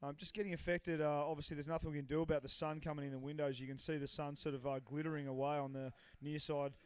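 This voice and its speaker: A man leading live sports commentary in a chatty way, pausing on "uh" and passing to his partner by name. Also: fairly high pitch, flat delivery, a little mellow, smooth. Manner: chatty, many "uh" pauses, hands off to partner by name. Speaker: lead commentator.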